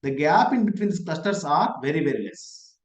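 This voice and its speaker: An instructor with an Indian English accent, teaching an online class over a laptop mic on a video call. The voice sits at an ordinary middle pitch and keeps throwing out rhetorical questions to the students. The office room gives it a noticeable echo. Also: lively intonation, a little fast. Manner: repeats key points. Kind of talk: online lecture. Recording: echoey room.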